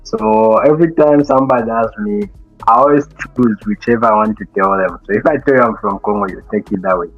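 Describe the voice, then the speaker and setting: A man's voice: fairly low pitch, a little fast, dry recording, soft background music. Young man talking thoughtfully, lo-fi sound. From Zambia. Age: young adult. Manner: thoughtful, many hesitations and restarts. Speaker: guest. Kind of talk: casual interview talk.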